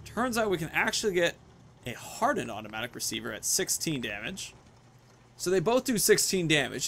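A man's voice: on the high side, creaky, fairly bright tone. A man in his thirties giving casual, off-the-cuff commentary while playing a video game. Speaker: video game streamer, host.

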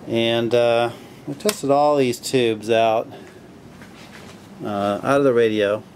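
A man casually narrating his radio restoration at a home workbench, relaxed and full of fillers as he thinks aloud. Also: medium pitch, slow, slight background noise. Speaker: hobbyist restoring a vintage radio.

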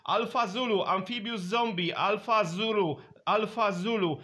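A man with a Polish accent makes radio contact calls in a high, bright voice. He speaks in clipped phrases and repeats himself, spelling things out as a CB operator does. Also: normal speed. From Poland.

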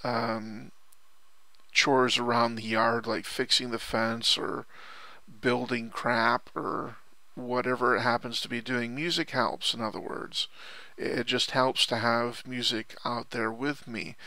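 A man's voice: medium pitch, flat delivery, takes it slowly, pushed and bright, no room sound. A man giving casual, unscripted product commentary in a rambling, hesitant way, often repeating himself. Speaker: online product reviewer.

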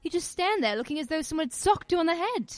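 An actress playing a sociable society wife hosting a party in a radio play, gushing and brisk. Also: female voice, very high voice, animated, dry recording. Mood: exasperated.

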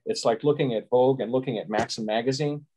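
A man in his sixties from Chicago, a guest photographer giving measured, rambling answers over a webcam video call from a small room at home. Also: mid-range voice, mellow, slight background noise, low-quality recording. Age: 60s. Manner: measured, explanatory, rambling asides. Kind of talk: casual interview answers.

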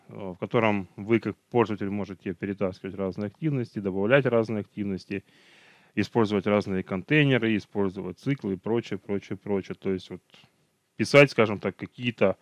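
Man, lowish voice, dry close sound, online tech trainer, hesitant fillers. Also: no room sound, lo-fi sound. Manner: unhurried, many fillers and self-corrections. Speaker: technical trainer. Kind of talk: online training lecture over slides.

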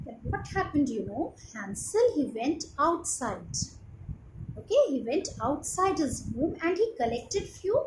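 High-pitched Indian-accented woman telling children's stories. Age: young adult. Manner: simple explanatory phrasing with frequent questions to listeners. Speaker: children's storyteller. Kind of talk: storytelling to children, unscripted retelling. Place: small living room indoors, on a sofa. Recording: roomy echo, clearly noisy home phone recording.

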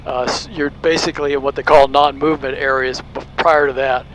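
A man's voice: mid-range voice, fairly quick, poor audio. A man, a pilot, giving casual, explanatory cockpit commentary and making radio calls. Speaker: private pilot and video host. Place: small aircraft cockpit. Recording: steady aircraft engine noise.

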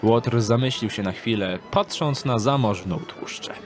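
A man's voice: mid-range voice, a little slow. A man narrating a scripted story in a dramatic audiobook style. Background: background music.

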